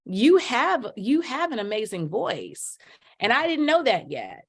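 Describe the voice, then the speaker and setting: A middle-aged woman telling her story as a podcast guest, lively, acting out quotes with big jumps in pitch. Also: a little slow, husky. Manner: animated, voices quotes with big pitch leaps. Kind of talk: storytelling in casual chat.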